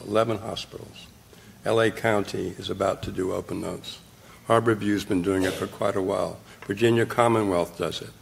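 Gravelly older man giving a keynote. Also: fairly low pitch. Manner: blunt, wry, punchy short phrases. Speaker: keynote speaker. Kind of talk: conference keynote talk. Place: hotel conference room. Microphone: podium mic, filmed from the audience.

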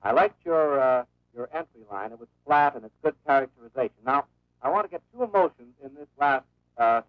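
A middle-aged man with an American accent, a radio director giving deliberate, hesitant notes in rehearsal. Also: on the high side. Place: studio control room, over a microphone. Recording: distant, tinny 1940s film soundtrack.

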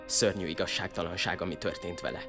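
A man's voice: low voice, steady tone, vocal fry. A young man acting an earnest, easily flustered outcast lad who is defiant and eager for revenge, in an audio drama. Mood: solemn. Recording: music behind the voice.

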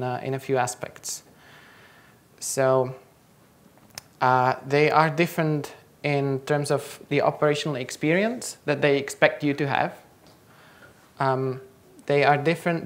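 A young man from the Czech Republic, a native Czech speaker, giving a conference talk in a lecture hall and quizzing the audience. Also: medium pitch. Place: large lecture hall, on stage.

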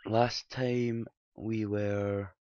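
Man, slightly hoarse, low pitch, rambling casual game commentary, dry lo-fi recording. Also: little pitch movement, extremely slow, a little mellow, no echo. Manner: rambling, self-correcting, recapping results. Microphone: desktop mic at home, quiet. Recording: dull low-fi mic.

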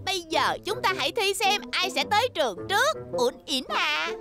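Mischievous loud little girl cartoon voice, super high and bouncy, music playing behind. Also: sing-song, moderate pace, exaggerated voice. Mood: teasing. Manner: teasing, exaggerated. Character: cheeky, mischievous, loud young schoolgirl big sister. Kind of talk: Vietnamese cartoon dub.

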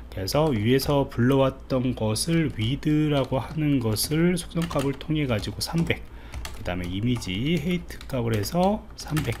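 Male instructor, mid-pitched voice, animated intonation, informal step-by-step tutorial delivery, faint noise with keyboard typing. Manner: explains step by step, checking in with listeners. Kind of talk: screencast programming tutorial, informal explanation.

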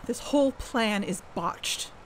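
A woman's voice: a little high, expressive, takes it slowly, slight background noise. A woman playing a dogged, impatient investigator in a podcast audio drama. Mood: frustrated. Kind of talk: audio drama acting with narration.

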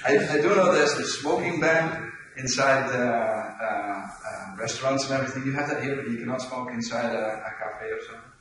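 A man's voice: mid-range voice, steady tone, normal speed, few pauses, fairly bright tone, recorded from a distance. Dutch man in an echoey lecture hall. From Netherlands. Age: 40s. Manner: joking, self-deprecating, chuckles often. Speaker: conference speaker. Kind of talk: relaxed conference talk. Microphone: room mic, speaker walking around stage.

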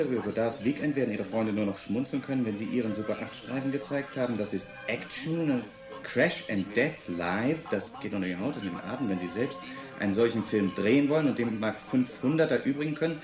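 An actor playing an eager, slightly impatient husband in a radio play. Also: male voice, mid-range voice, animated, fairly quick, close to an everyday voice. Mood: reading aloud. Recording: roomy echo, music behind.

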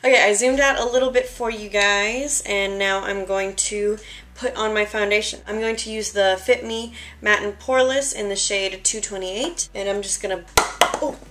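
A young woman with a slightly raspy, tired voice, chatting casually through a makeup tutorial in her bedroom while she works, a little self-deprecating. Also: medium pitch, fairly even intonation, moderate pace, fairly bright tone, slight background noise. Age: young adult. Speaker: beauty vlogger. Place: bedroom, indoors. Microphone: camera in front at close range.

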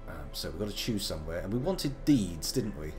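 A man in his thirties, gravelly from a slight cold, chatting playfully through a live game stream. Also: sits low, sing-song, a little fast, over music. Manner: chatty, playful, wandering asides. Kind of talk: casual live game commentary.

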